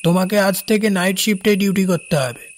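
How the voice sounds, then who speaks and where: A man's voice: sits high, brisk, a little hiss or hum, close to an everyday voice. A man with a West Bengal accent playing a firm, unyielding railway boss in a cartoon ghost story. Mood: firm, dismissive. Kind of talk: dramatized cartoon dialogue.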